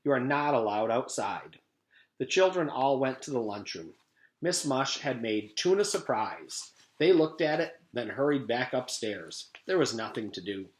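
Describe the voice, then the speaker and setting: A man, a teacher, reading a chapter book aloud to his class in an expressive read-aloud manner. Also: medium pitch, moderate pace. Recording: echoey room.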